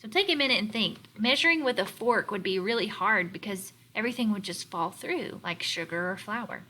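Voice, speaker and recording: Bright-voiced woman teaching young children. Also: medium pitch, animated. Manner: instructional, deliberate, sounding out and explaining words. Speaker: elementary teacher. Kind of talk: read-aloud lesson for young children.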